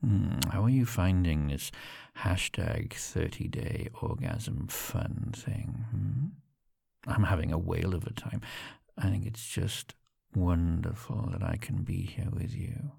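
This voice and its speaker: Man, low gravelly voice, husky, intimate teasing monologue, soft chuckles. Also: moderate pace, no echo, studio quality. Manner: soft, teasing, often whispering; chuckles softly between phrases.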